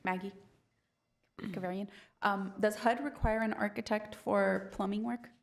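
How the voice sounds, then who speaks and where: A woman from the city staff speaks carefully and clarifies a request. Her mid-pitched voice has a gravelly edge. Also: steady tone, unhurried. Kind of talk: clarifying at a public meeting. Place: city council chamber.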